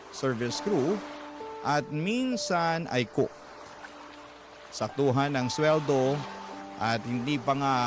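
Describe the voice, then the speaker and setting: A man voicing a troubled young man narrating his own story in a Filipino radio drama. His pitch is medium, and music plays clearly behind the scripted account. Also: close to an everyday voice. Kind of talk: first-person scripted narration. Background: background music.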